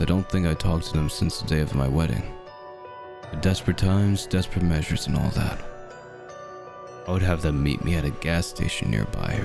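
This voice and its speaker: A man reads a creepypasta in a very deep voice with a gravelly edge. His delivery is measured and dramatic, built for dread. Dark music plays clearly behind him. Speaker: horror story narrator. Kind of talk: scripted creepypasta narration in the first person. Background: dark background music.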